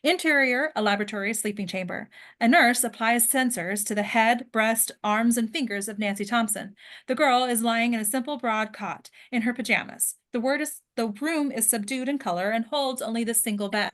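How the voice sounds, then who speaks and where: A woman's voice: on the high side, moderate pace, a bit bright. A woman reading scripted stage directions as narrator of a screenplay table read.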